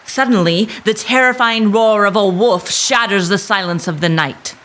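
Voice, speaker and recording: A woman narrating a scripted dramatic story in a measured, suspenseful manner. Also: mid-range voice, fairly bright tone, a little hiss or hum.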